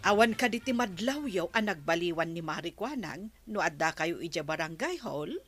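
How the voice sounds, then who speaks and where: An actress playing a nosy, teasing village wife in an Ilocano radio comedy drama. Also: female voice, fairly low pitch, lively intonation, moderate pace, a bit bright, soft background music.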